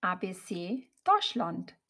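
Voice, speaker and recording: Woman, language teacher, very slow, lively pitch, phrases repeated twice. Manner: each phrase said twice, clearly split. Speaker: German teacher. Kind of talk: slow repeated example sentences for learners.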